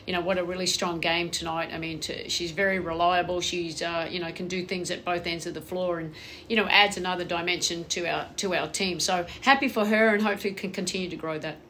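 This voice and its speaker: A woman head coach giving measured postgame press answers on a table mic in a press room. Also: lowish voice, steady tone, pushed and bright, slight background noise.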